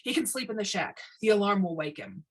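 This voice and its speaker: A stubborn, short-tempered male site superintendent played by a woman reading a story, blunt and bossy. The voice is a little husky and gives orders gruffly. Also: medium pitch, expressive, a little fast, natural voice. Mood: grudging.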